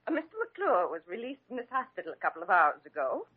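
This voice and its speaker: An actress playing a prim, huffy, lovelorn hospital nurse, theatrical, in 1940s radio style. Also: female voice, medium pitch, sing-song, quick pace, slightly rough, phone-quality sound. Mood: wounded, huffy. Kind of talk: 1940s radio comedy acting. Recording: thin, band-limited old radio recording.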